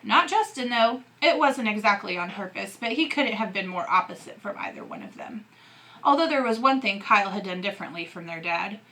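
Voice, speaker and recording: A woman teacher reading a novel aloud in an expressive narrating style. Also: mid-range voice, fairly quick.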